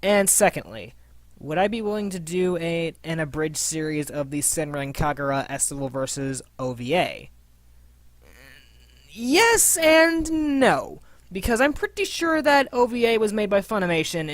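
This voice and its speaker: A woman's voice: low voice, lively intonation, normal speed, no echo. A woman hosting a casual scripted Q&A in an informal, playful, sweary manner. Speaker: host and voice actor of a fan abridged series.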